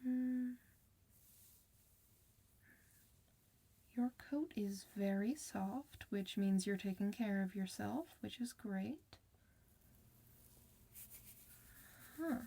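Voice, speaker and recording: Woman playing a gentle, creaky-voiced ASMR clinician. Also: mid-range voice, measured pace. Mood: soothing, encouraging. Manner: soft-spoken, soothing, encouraging, partly whispered. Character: gentle, reassuring female clinician for werewolves. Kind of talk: ASMR medical roleplay.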